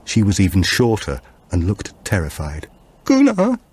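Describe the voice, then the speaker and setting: A male audiobook narrator with a notably deep voice. He reads scripted suspense fiction as a storyteller. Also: lively intonation, normal speed, a little mellow.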